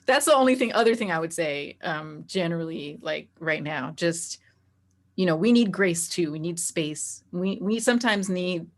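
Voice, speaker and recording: A woman with a somewhat low-pitched voice talks as a guest in a conversational interview. She sounds reflective and informal, speaking candidly in everyday language. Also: lively intonation, normal speed.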